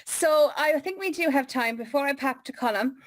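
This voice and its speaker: A woman's voice: high voice, animated, moderate pace. Upbeat woman hosting a video call. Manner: upbeat, encouraging. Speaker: host. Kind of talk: moderating an online meeting.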